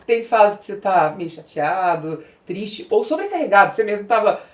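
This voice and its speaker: A woman's voice: medium pitch, very animated, normal speed. A middle-aged woman with a Brazilian accent hosting a podcast, explaining her views in a conversational way. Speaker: podcast host, business growth specialist.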